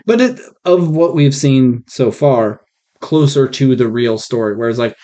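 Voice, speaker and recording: A man co-hosting a casual film podcast, speaking in a blunt, opinionated way with casual swearing, recorded on a rough mic. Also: medium pitch, animated, a little slow, warm tone, dull audio. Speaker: podcast co-host.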